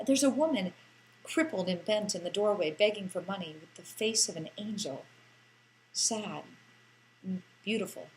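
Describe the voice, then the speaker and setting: A woman reads a first-person story as an audiobook narrator. Her voice sits at a medium pitch, and she delivers the lines in a theatrical, lilting way, lingering over phrases. A faint noise and hum runs under the recording. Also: animated, moderate pace, long pauses, a little mellow, poor audio.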